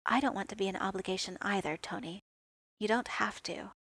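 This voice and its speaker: A woman reading fan fiction aloud, voicing an earnest, kind male soldier with a soft, hesitant delivery. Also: mid-range voice, brisk, breathy edge, no echo, clean studio sound. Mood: shy.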